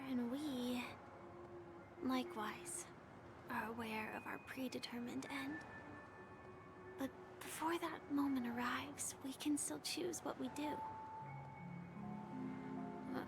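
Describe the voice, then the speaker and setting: A wistful young woman from a video game, speaks in a high, soft, breathy voice. She takes her time, gentle and reflective, with clear music behind her. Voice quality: soft, breathy, often near whisper. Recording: game audio under loud background music.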